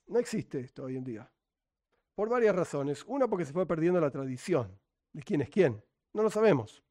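A man's voice: a little high, big pitch swings, normal speed, a little mellow. A middle-aged man from Buenos Aires with a Rioplatense accent, giving an informal Torah lesson full of rhetorical questions and sarcastic asides. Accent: Rioplatense Spanish. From Argentina, Buenos Aires. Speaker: Torah teacher.

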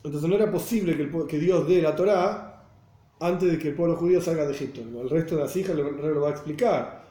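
A man's voice: on the high side, brisk, warm tone. A man from Argentina with a Buenos Aires accent, teaching a religious class and explaining with rhetorical questions. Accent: Buenos Aires Spanish. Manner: explanatory, asks rhetorical questions, checks listeners follow. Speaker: Torah teacher. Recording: roomy echo.

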